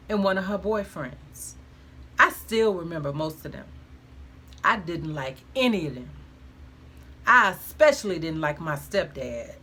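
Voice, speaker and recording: A woman's voice: medium pitch, pushed and bright. A woman reading her own first-person memoir aloud in a frank, conversational way with emphatic colloquial phrasing.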